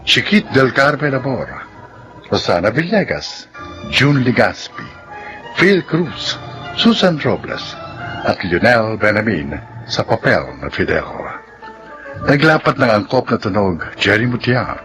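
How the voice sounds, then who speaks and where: A man's voice: medium pitch, very animated, a little slow, poor audio. A man with a Filipino accent giving formal, dramatic scripted narration as a radio drama announcer. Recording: clear music behind.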